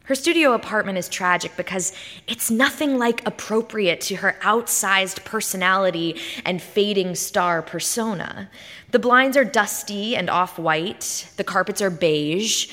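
A woman giving a dramatic, expressive reading of literary fiction, like a performance on stage. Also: medium pitch, moderate pace. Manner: theatrical, expressive delivery. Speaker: short story reader on stage. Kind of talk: dramatic scripted literary narration. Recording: reverberant hall.

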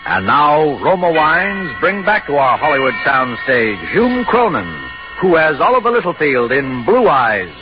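A man's voice: on the high side, huge pitch swings, unhurried. Theatrical 1940s American radio announcer, male. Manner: formal, theatrical presentation. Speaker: radio drama series announcer. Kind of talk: 1940s radio drama introduction. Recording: dull 1940s radio recording. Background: loud music.

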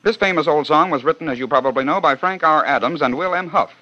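A man narrating a scripted radio program with brisk, wry storytelling. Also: a little high, bright, forceful tone. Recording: dull 1940 radio recording.

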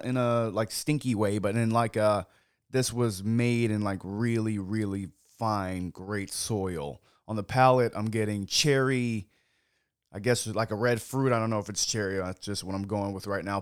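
A young man hosting a casual wine-tasting podcast, hoarse from yelling, talking loosely with lots of fillers and swearing. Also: medium pitch, no echo, studio quality. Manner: loose and chatty, many "like" fillers, swears freely. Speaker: podcast host.

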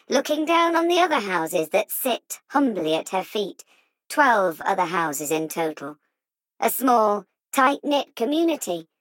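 A woman reading as an insinuating true-crime podcast host, in deliberate, dramatic scripted audiobook narration. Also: medium pitch, no echo, lightly acted, rough recording.

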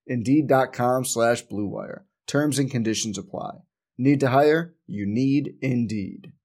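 Male ad announcer, mid-pitched voice, scripted sponsor read. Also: measured pace, a little mellow. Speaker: advertisement announcer.

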